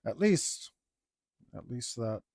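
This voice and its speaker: A man's voice: medium pitch, very animated, slow pace, darkish tone, no room sound, studio quality. Laid-back man mumbling while he draws. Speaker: digital artist. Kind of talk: casual talk while drawing.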